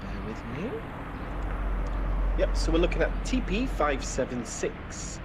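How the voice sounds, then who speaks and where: A man's voice: a little high, huge pitch swings, unhurried, very noisy. A Welsh man with some northern English in his accent gives casual, chatty live aviation commentary, greeting viewers in a friendly way. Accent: Welsh with northern English influence. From Wales. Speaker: live stream commentator and host.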